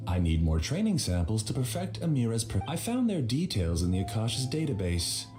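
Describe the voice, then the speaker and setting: A man's voice: fairly low pitch, huge pitch swings, brisk, darkish tone. A man voicing a smug, obsessive scholar villain in a video game, with a theatrical, condescending delivery. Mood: proud, confiding. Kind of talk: scripted video game dialogue. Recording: music under the dialogue.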